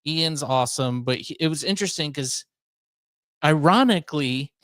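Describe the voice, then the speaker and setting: A man's voice: a little high, normal speed, fairly bright tone, dry recording, studio quality. A man hosting a radio show, talking in casual conversation. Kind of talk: casual chat, airing workplace grievances.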